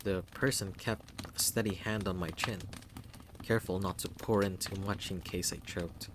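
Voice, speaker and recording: A narrator reading a first-person visual novel story in a measured, unhurried way. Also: deep voice, steady tone, background noise. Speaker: narrator and game reader.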